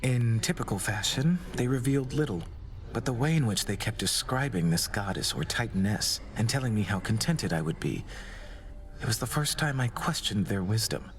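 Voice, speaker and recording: A courtly god of doom played by a man speaks in a low, measured voice. He is formal, melancholy and gently devoted, like a suitor in a fantasy game romance. There is some ambient noise behind him. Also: expressive, lightly acted. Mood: solemn, resigned. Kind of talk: scripted video game romance dialogue.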